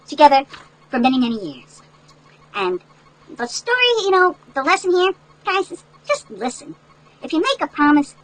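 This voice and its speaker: An older woman with a high voice reads a storybook to young children. She breaks off for chatty asides, explains the lesson like a grandmother and keeps checking in with little questions. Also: a bit bright, rough recording. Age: older, great-grandmother. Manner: instructive, checks in with listeners through tag questions. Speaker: grandmother reading a picture book to children.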